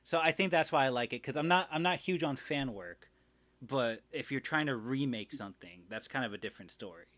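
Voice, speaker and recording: A man co-hosting a casual stream, giving opinions in a halting, blunt way with dry humour. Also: mid-range voice, fairly bright tone.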